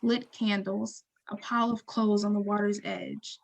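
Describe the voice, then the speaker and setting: A woman narrating stage directions from a script in a measured, neutral, descriptive way. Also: mid-range voice, flat delivery, lo-fi sound.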